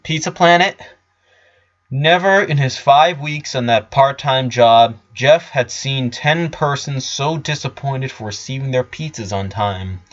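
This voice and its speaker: A man's voice: medium pitch, a little slow, few pauses. Male narrator on slightly muffled recording. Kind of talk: dramatic story reading. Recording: dull lo-fi sound.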